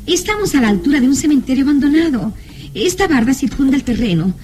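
A woman's voice: high-pitched, big pitch swings, fast, darkish tone. An actress playing a fearful, superstitious young woman in a melodramatic radio drama. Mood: uneasy.

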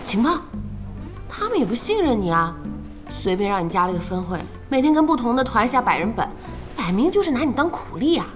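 Chatty nagging gamer girl, high bouncy voice, impatient, bit of music behind. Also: very animated, a little fast. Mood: nagging, puzzled. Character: outspoken, gossipy, impatient young woman gamer. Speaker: voice actor in a radio drama. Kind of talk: animated audio drama dialogue.

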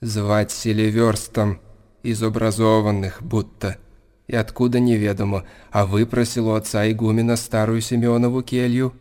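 A man plays a sober chronicler in a radio drama. He tells the tale in a low voice with hardly any rise or fall, restrained and factual. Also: little pitch movement, moderate pace, a little mellow, clear, resonant tone, close to an everyday voice. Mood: grave, restrained. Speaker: narrator. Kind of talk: scripted radio drama narration.

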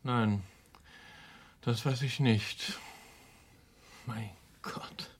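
A man's voice: mid-range voice, fairly even intonation, extremely slow. An actor playing an evasive young American impostor in a German radio crime drama, polite and hesitant in his answers. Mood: guarded, evasive. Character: evasive young American man posing as another, outwardly obliging.

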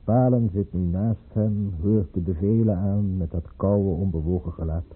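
On a narrow old radio play recording, a scheming aristocratic baron. He speaks low and husky, with wry, theatrical relish. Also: moderate pace. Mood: wry, conspiratorial. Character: sardonic aristocratic baron, conspiring Russian courtier. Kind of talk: Dutch radio play acting.

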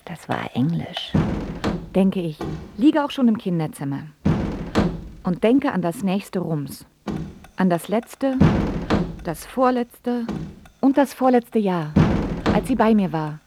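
A woman's voice: medium pitch, animated, takes it slowly, pauses often, loud music behind the voice. A woman playing a brooding, prickly grown daughter who narrates her own story in a radio drama with dry, clipped delivery. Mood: dry, detached. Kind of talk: first-person radio drama narration and acting.

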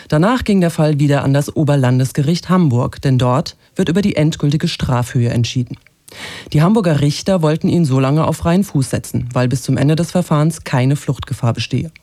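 A man's voice: fairly high pitch, dark tone, dry recording. A man with a standard German accent from Germany, presenting scripted radio news.

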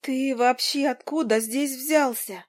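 A woman's voice: sits high, slow pace, voice pushed up. A woman voicing a spirited young woman in an audiobook, weak from illness and sharply scolding. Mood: softening. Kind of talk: audiobook character voice.

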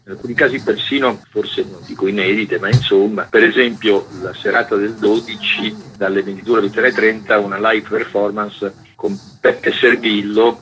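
Older male interview guest, lowish bright voice, discursive, explanatory. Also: a little hiss or hum, poor audio. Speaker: archive president, interview guest. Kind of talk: radio interview, explanatory talk.

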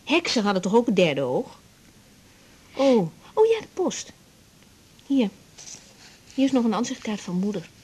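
An actress playing a nervous, intuitive young woman doctor in a radio drama, husky and opinionated. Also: on the high side, very animated, unhurried, pauses often, a little mellow, a little hiss or hum. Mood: confiding, chatty. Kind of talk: naturalistic radio drama dialogue.

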